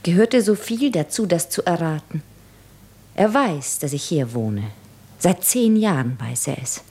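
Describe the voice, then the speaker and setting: A woman's voice: lowish voice, lively intonation, measured pace, warm tone, breathy edge, full character voice. An actress playing an anxious, insistent woman in love, speaking earnestly and with subdued urgency in a 1950s German radio drama. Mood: uneasy, subdued.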